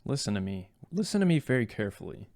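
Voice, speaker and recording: A man's voice: lowish voice, sing-song, quick pace, a little mellow. A man co-hosting a casual video game podcast, speaking in an enthusiastic, emphatic and slangy way. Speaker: podcast co-host.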